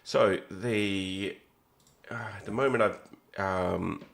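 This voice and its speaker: Husky, gravelly deep-voiced man streaming. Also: takes it slowly, fairly bright tone. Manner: rambling, thinks aloud while reacting to the game. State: husky from illness, recovering. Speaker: game streamer. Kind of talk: casual live-stream chat while gaming.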